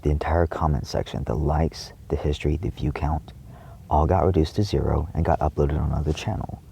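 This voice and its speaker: A man giving an impassioned, indignant monologue to viewers, emphatic and repetitive, with a gravelly, husky voice. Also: very deep voice, brisk, mellow, a little hiss or hum. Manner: frequent swearing. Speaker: video creator addressing viewers.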